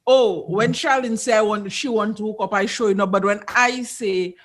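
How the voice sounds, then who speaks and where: A woman with a Caribbean accent in a casual group chat, telling stories in an animated way and acting out exchanges. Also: medium pitch, normal speed. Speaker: podcast panelist.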